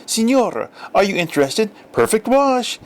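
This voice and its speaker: An excitable, scheming little boy from a storybook, as a male narrator plays him, pitched higher and full of boastful eagerness. The delivery is exclamatory and keen. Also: moderate pace. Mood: insistent. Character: excitable, scheming young boys of the neighborhood.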